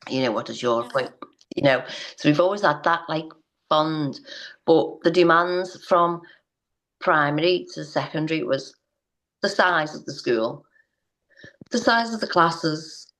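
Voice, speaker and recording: Low-pitched woman guest, heartfelt and halting. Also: fairly bright tone, rough recording. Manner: earnest, hesitant, many restarts. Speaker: interview guest, parent. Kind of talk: personal story in interview.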